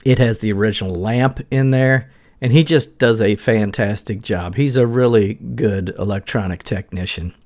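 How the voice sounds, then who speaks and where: A man's voice: lowish voice, normal speed, a little mellow. A middle-aged man giving a casual walkthrough as a guitar gear reviewer.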